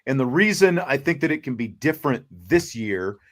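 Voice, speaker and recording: A man's voice: medium pitch, sing-song, moderate pace. An American man speaking as a sports talk show host, discussing teams in a casual, conversational style.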